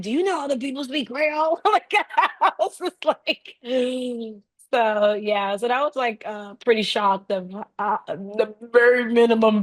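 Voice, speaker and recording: Female, higher-pitched voice, animated and giggly, casual conversational storyteller. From raised by a Haitian family. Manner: animated, giggly, laughs often while talking. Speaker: interview guest, bike traveler.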